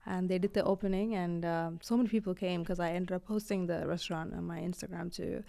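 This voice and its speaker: Young woman from Sri Lanka, low and gravelly voice, loose chatty delivery with many "ums" and restarts. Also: steady tone, fast, warm tone. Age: young adult. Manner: chatty, many fillers and restarts, laughs often. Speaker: guest artist. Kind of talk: casual interview chat.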